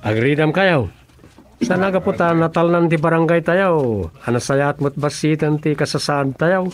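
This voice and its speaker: A man plays a practical village councilor in an Ilocano radio drama. His voice is somewhat high for a man, with a Filipino Ilocano accent and a concern for order. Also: normal speed, natural voice.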